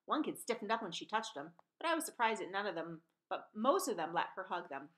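A woman's voice: medium pitch, sing-song, fairly quick, fairly bright tone. A middle-aged woman reading a children's novel aloud as a storyteller, recorded indoors at home on a webcam microphone. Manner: acts out dialogue in changed voices. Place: small room at home, indoors. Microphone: webcam or laptop microphone at desk distance.

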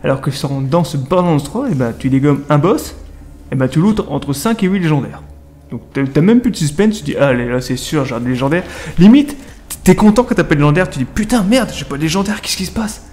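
A man's voice: a little high, animated, a little fast, darkish tone. A man, giving a casual, slangy video game review with conversational asides to viewers. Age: 30s. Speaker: gaming video creator and reviewer. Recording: music under the voice. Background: music.

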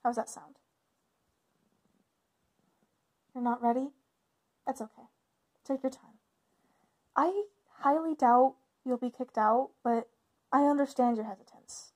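A woman acts out a protective big sister from a witches' coven in an audio roleplay. Her voice sits high. She speaks informally and a little haltingly, always caring and reassuring toward her younger sibling. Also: a little mellow. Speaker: voice actor in ASMR roleplay. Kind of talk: ASMR roleplay, conversational acting.

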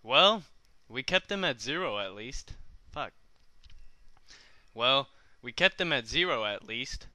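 A man's voice: mid-range voice, lively intonation, slow pace, pushed and bright, no echo. A young man performing short amateur script takes, bluntly swearing and restarting when he fumbles. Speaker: amateur voice actor.

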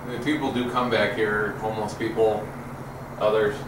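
A man's voice: fairly low pitch, flat delivery, normal speed. A man casually guiding a friend through a derelict building, warning about dangers in a matter-of-fact way. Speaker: local guide on a tour. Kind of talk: casual walking tour talk. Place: inside a ruined derelict building. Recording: echoey ruin, distant from the camera, loud ambient noise.

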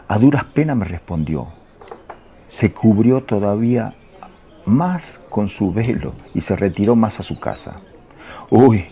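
A man's voice: on the low side, vocal fry, mellow, full character voice. An actor playing a plain-spoken middle-aged fisherman, folksy, chatty and fond, in a religious radio drama.

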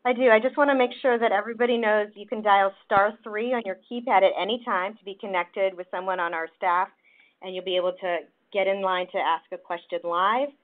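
A woman's voice: mid-range voice, steady tone, a little fast. Woman, moderator, reads out questions, tinny phone line. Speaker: staff moderator.

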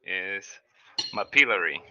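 A man's voice: deep voice, animated, takes it slowly, pushed and bright, a little hiss or hum. A man whose first language is not Spanish, joking and self-deprecating as a participant in a casual workshop on a video call. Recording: dry, slightly dull audio.

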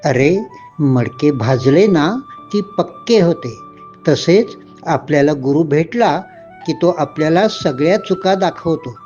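A man's voice: on the high side, animated, slow, a little mellow, soft background music. A man playing a wise, kindly older potter saint, speaking in a fatherly, instructive way for a children's story, in slightly muffled sound. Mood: gentle, advising. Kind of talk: children's devotional story acting.

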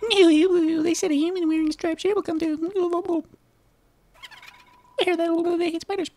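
Sly spider baker, tiny piercing high voice, slow mock-sweet taunts, video game villain. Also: female voice, steady tone, warm tone. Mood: gossipy, sly. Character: sly, teasing, dainty female spider monster baker. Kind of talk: voicing video game dialogue.

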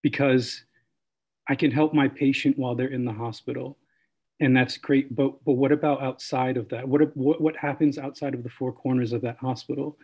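A young man talks earnestly as a new nurse in an online discussion. His voice is husky and sits at a medium pitch. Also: steady tone, normal speed, a little mellow. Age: young adult. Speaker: newly qualified nurse. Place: room at home. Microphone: computer mic on a video call.

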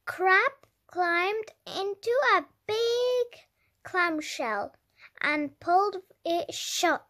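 A young-sounding reader telling a children's story aloud with deliberate, dramatic emphasis. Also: extremely high pitch, expressive, sharp tone. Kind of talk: expressive read-aloud narration for young children.

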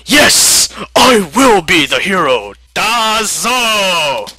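A man voicing a loud, boastful, childish young hero who exclaims bombastically in a comic story. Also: high voice, big pitch swings, very slow, heavily acted. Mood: triumphant.

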